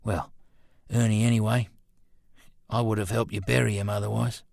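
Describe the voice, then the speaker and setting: A man reading the part of a loyal, steady friend, wry and urging restraint, in a narrated story. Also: lowish voice, normal speed, creaky, no room sound, clean studio sound. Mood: wry, hushed.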